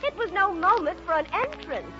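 A woman's voice: very high-pitched, sing-song, normal speed, sharp tone, full character voice. A young woman playing a gushing, indignant ingenue in a 1940s radio play. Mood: pleading, indignant. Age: young adult. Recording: muffled vintage radio, music behind.

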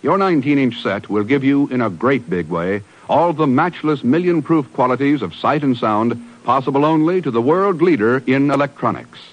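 A man's voice: mid-range voice, expressive, normal speed, hardly any pauses, dull audio. A man doing scripted 1950s radio announcing and advertising. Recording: vintage radio broadcast with music. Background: music.

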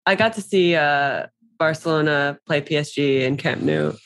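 A woman in her thirties hosting a casual podcast chat, quick and chatty, often laughing. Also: low-pitched. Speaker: podcast host.

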